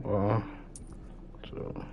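A man's voice: very slow, slight background noise. A young American man talks casually while live-streaming a game. His speech is slangy and full of repeated short phrases. The mic sounds a little muffled. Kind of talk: casual chat while gaming. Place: indoors, gaming livestream setup with webcam. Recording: slightly dull, muffled mic.

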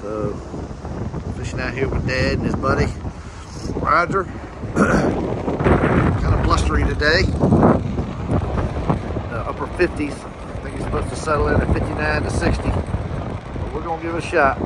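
A man's voice: on the high side, unhurried, pauses often. A man filming with a phone on a windy ocean beach, narrating a fishing trip in an easygoing, chatty way. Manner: easygoing, chatty, drawling remarks. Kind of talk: casual narration behind the camera. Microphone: handheld phone camera. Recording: wind-buffeted outdoor phone audio. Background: loud wind and crashing surf.

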